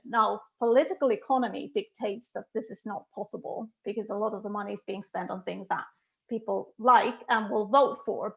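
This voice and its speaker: A woman's voice: a little high, gravelly, dull audio. A middle-aged woman from Finland, a guest economist answering questions in an online talk, speaking emphatically and thoughtfully with frequent "you know" fillers.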